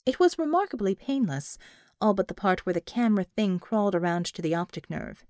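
A woman's voice: mid-range voice, big pitch swings, a little fast, a little mellow, dry recording, clean studio sound. A woman reading a scripted fiction audiobook as its narrator, in a dramatic storytelling style.

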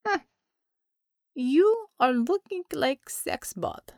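A woman's voice: high-pitched, expressive, very slow, a little mellow, no echo, studio quality, voice pushed up, heavily acted. A woman voicing a brash, commanding Russian woman commissar in an audiobook, with a Russian accent and blunt, non-native English phrasing. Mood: mocking.

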